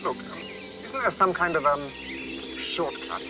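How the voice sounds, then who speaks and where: An actor playing a pompous, timid and excitable explorer, in a theatrical comic style. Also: male voice, on the high side, unhurried. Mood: nervous. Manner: theatrical, hesitant when nervous. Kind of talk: broad comic TV acting. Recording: music behind, VHS tape source.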